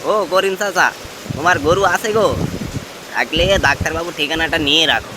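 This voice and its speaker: Man playing higher-voiced ominous villager, rainy backdrop. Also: normal speed, lightly acted. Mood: chatty, familiar. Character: talkative village tea-stall customer, ominously warning. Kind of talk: horror cartoon dialogue. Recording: rain and wind noise behind.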